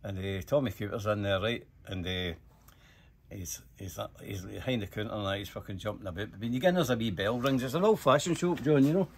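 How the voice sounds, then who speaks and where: An older Scottish man with a husky, unpolished voice tells a rambling personal anecdote. He talks casually and swears freely. He plays out each side of the conversations he recalls in an animated manner. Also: lowish voice, a little fast. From Scotland. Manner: animated, sweary, acts out dialogue. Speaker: storyteller.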